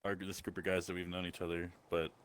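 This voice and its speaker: A man from Texas in a casual group call, teasing friends and firing off quick questions. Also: low-pitched, flat delivery.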